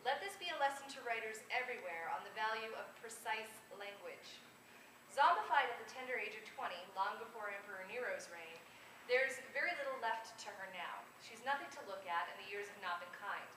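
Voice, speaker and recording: A woman's voice: fairly high pitch, animated, brisk, bright, forceful tone. A woman author reading humorous prose aloud at a live event. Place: convention room, live event. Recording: echoey, noisy room from far off.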